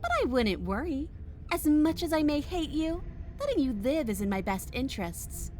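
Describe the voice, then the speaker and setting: Haughty, bitter captive princess, highish voice. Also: female voice, very animated, moderate pace, darkish tone, soft background music. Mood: cold bargaining. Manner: sarcastic, taunting. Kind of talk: video game character acting.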